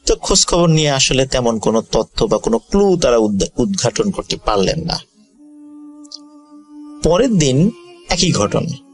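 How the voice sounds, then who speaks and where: A man narrating a ghost story to listeners as a storyteller, in a measured, formal and polite manner. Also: mid-range voice, sing-song. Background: music.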